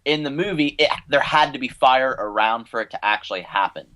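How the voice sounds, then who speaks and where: A man's voice: mid-range voice, fairly quick, bright, forceful tone, rough recording. A young American man chatting casually as a podcast co-host, in an opinionated, rambling fan manner. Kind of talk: casual panel chat about films and animation.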